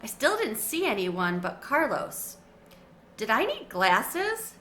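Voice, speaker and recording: A woman reading a children's chapter book aloud with expressive, animated storytelling. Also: fairly high pitch, takes it slowly, a little hiss or hum. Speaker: storybook reader. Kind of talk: children's read-aloud narration.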